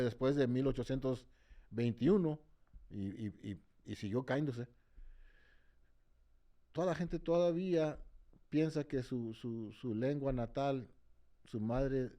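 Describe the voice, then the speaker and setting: A man speaks with conviction in an interview, his voice mellow and warm. He stumbles and repeats words as he builds his point. He keeps checking in with the listener as he goes. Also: medium pitch. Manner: repeats words while searching, tags phrases with "no?"; switches between English and Spanish. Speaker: interviewee, march organizer. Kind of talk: impassioned interview talk.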